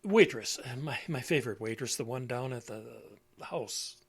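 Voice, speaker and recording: A man's voice: medium pitch, expressive, breathy edge. An actor playing an elderly widower with failing memory in an audio drama, meek and muddled, stammering as he hunts for words. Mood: meek, apologetic. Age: older. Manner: halting, stammering, searching for words.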